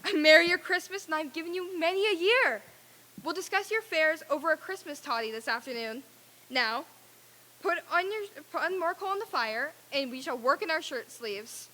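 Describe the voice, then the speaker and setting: A woman's voice: high-pitched, animated, normal speed, pushed and bright, rough recording. A woman playing a reformed, overjoyed old miser in a theatrical old-time radio drama reading, with exclamatory delivery. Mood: hearty.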